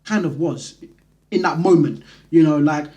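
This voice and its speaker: A man's voice: a little high, normal speed. A man with a London accent hosting a vlog, telling a personal story in a casual, slangy, conversational way. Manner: conversational, slangy, animated retelling. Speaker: vlog host.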